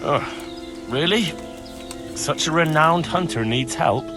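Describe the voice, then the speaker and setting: A man's voice: on the high side, expressive, slow, over music. A man playing a cheeky young peasant squire in a video game cutscene, dryly mock-humble toward his betters. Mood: sardonic. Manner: dry, sardonic deference.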